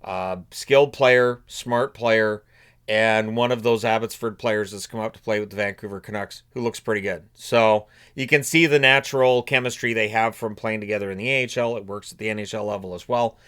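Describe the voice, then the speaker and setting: A man's voice: on the low side, fairly even intonation, normal speed, a bit bright, clean tone. A man with a cold, sounding a bit sniffly, hosting casual solo hockey commentary and thinking aloud with frequent fillers and restarts. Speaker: hockey commentary channel host.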